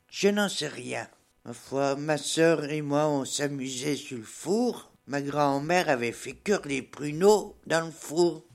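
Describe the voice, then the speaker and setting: An older woman from rural Burgundy, a radio columnist telling folksy village anecdotes in dialect in a halting, plain-spoken way, in a radio studio. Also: sits low. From rural Burgundy, France.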